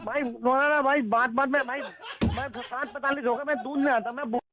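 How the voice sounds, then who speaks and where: A man with a very high-pitched voice on a muffled phone line. He pleads repetitively and stammers over his words as he takes a prank call. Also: fairly even intonation, brisk, over a noisy crowd.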